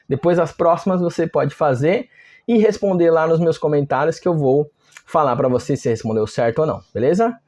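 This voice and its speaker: A man's voice: a little high, brisk, a little mellow. A man with a Brazilian accent teaching an informal online lesson, explaining step by step in a conversational way with frequent check-in questions. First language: Portuguese. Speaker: language teacher.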